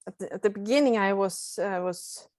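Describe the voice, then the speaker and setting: Woman, Swedish accent, non-native English, hesitant, mid pitch, dry recording. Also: normal speed, darkish tone, dry recording. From Sweden. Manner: searching for words, hesitant. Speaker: nurse guest. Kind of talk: interview answers.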